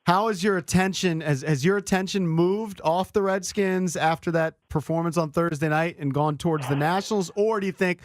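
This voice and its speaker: A man's voice: high voice, normal speed, few pauses, no echo, studio quality. An American man working as a sports radio host, chatting casually and asking questions.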